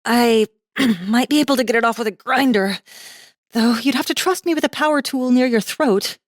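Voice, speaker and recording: A woman's voice: fairly high pitch, moderate pace, dry recording, clean studio sound, pitched above the performer's own voice, lightly acted. A woman voicing a nineteen-year-old college girl, nervous and flippant, with hesitant, rambling, slangy speech. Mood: nervous, joking.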